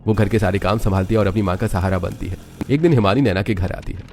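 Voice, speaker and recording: A man's voice: fairly low pitch, fast, a little mellow. A man narrating a scripted dramatic story, with music underneath.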